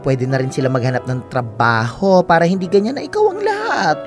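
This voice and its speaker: A woman's voice: sits low, animated, brisk. A woman playing a sassy, big-hearted salon owner with campy, slangy delivery in a Filipino audio drama. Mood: concerned, nagging. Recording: music under the voice.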